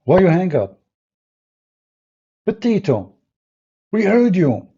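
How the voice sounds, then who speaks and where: Husky-voiced man hosting a livestream. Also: high-pitched, big pitch swings, slow, mellow. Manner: mocking, sarcastic, non-native English phrasing. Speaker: livestream host. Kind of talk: livestream talk with callers. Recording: dull lo-fi stream audio.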